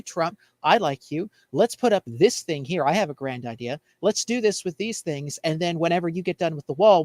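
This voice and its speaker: A man's voice: fairly high pitch, dry recording. An American man on a talk show panel, giving opinionated political chat in a blunt, exasperated, ranting manner.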